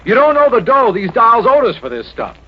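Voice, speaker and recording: American slick, self-important talent agent, high and animated, vintage radio play. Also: male voice, a little fast. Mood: peevish. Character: fast-talking, self-important male Hollywood agent, slick and brash. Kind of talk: old-time American radio drama acting. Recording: dull noisy old radio recording.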